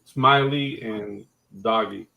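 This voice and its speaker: A man whose first language is not English, chatting casually in a group and rambling with halting restarts. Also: lowish voice, vocal fry, pushed and bright, highs cut off.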